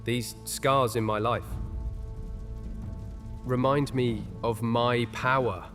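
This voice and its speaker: An actor playing a scarred, quietly resolute witch in a fantasy audio drama, earnest and restrained. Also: mid-range voice, fairly even intonation, takes it slowly. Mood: quiet resolve. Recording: music and rain behind voice.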